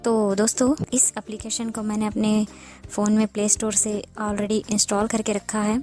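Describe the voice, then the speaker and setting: A woman's voice: medium pitch, little pitch movement, normal speed, darkish tone. A woman presenting an informal step-by-step app tutorial, explaining and repeating her instructions as she goes. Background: music.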